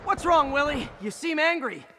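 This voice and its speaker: A young video game superhero with a high, lively voice. He is cocky and playful, tossing out sarcastic taunts while loud gunfire clatters around him. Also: normal speed, noisy background. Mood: taunting. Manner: sarcastic quipping.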